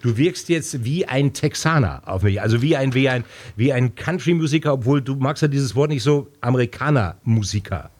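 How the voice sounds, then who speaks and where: A man's voice: mid-range voice, lively intonation, moderate pace, few pauses, a little mellow. A man in his fifties hosting a casual music interview on the radio, chatty and jokey, often chiming in. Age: 50s. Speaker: radio host.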